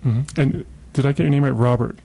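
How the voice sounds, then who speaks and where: A man's voice: mid-range voice, steady tone, a little fast, gravelly, mellow, husky. A man hosting a conversational radio interview, putting questions to his guests.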